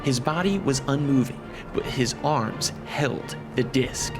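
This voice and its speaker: A man delivers solemn dramatic narration for a radio monster serial. His voice is grave and middling in pitch, telling events with suspense over loud music. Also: measured pace, lightly acted. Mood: grave, suspenseful. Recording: loud dramatic score behind.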